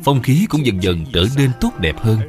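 A man's voice: medium pitch, very animated, moderate pace. A man reading scripted storybook narration for an educational cartoon. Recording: music under the voice. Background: background music.

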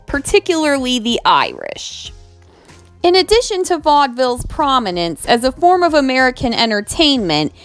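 Lively, higher-pitched woman narrating a lesson. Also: no echo. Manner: reads from a script, measured and explanatory, with asides to viewers. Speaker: educational video host. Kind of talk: scripted narration over slides. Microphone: voice-over.

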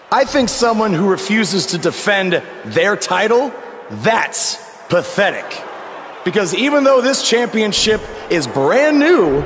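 A man's voice: high-pitched, heavily acted. A man playing a cocky, defiant young wrestler in a video game, delivering a brash, taunting promo. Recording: echoing arena, noisy ambience.

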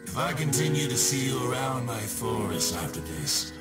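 An actor voicing a scheming, short-tempered shadowy spirit who takes souls, theatrical and mock-polite, in a video game villain style. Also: male voice, mid-range voice, very animated, moderate pace, loud music behind the voice, natural voice. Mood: grudging bargaining.

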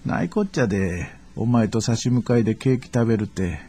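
An actor playing a gruff, good-natured middle-aged father who repairs bicycles, grumbling and blustering with a Kansai accent in a radio drama. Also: lowish voice, brisk, dark tone. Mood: gruff, awkward.

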